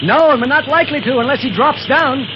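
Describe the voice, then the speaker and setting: High-voiced, commanding pilot leader. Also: male voice. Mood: stern. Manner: brisk, clipped, authoritative. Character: stern, commanding male secret-police pilot leader. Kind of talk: 1930s radio adventure serial acting. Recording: muffled 1930s radio recording, engine noise.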